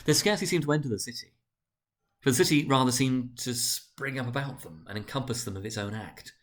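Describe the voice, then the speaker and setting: A man narrating a story dramatically, with a grave, deliberate and theatrical delivery. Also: mid-range voice.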